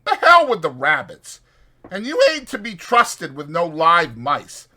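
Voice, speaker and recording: A man's voice: a little high, huge pitch swings, a little slow, pushed and bright, voice pushed up, heavily acted. A man voicing an impatient, bossy but caring ranch hand who talks in a brusque rural American vernacular in an audiobook. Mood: irritated.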